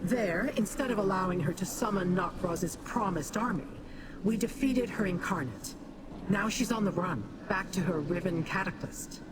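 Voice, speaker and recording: An actress playing a haughty, calculating Daedra who speaks down to mortals. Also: female voice, fairly low pitch, normal speed, natural voice. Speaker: game character, scheming ally. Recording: game audio with background noise.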